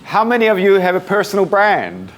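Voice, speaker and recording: A man in his twenties with a New Zealand accent, giving a casual, soft-spoken talk to a meetup audience, with many fillers. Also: sits high, sing-song, brisk, slight background noise. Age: 20s. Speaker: speaker at a meetup. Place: coworking space event room.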